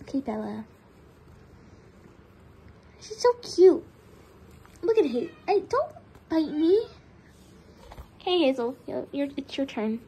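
A woman's voice: high voice, big pitch swings, slow, pauses often, mellow. A young woman giving a casual tour of her barn, chatty and giggly, cooing at her cats, recorded on a handheld phone indoors. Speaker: vlogger. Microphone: phone held in hand.

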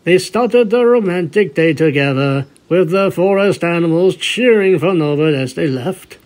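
A man's voice: fairly high pitch, lively intonation, normal speed, a little mellow. A man reading a scripted story aloud as its narrator. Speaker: narrator of a fan fiction reading. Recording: music bed behind the voice.

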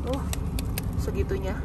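A woman's voice: on the high side, far from the mic, heavy background noise. A woman travel vlogger chatting casually in a car on a foggy drive, laughing often and exclaiming playfully. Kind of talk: casual chat on a road trip. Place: inside a car driving through fog.

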